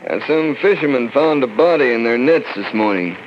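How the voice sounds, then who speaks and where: An actor playing a blunt army colonel who talks in a hesitant, matter-of-fact way. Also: male voice, medium pitch, animated, moderate pace, slight background noise, close to an everyday voice. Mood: grim. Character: blunt middle-aged male army colonel. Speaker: colonel at the funeral parlor. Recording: dull distant radio recording.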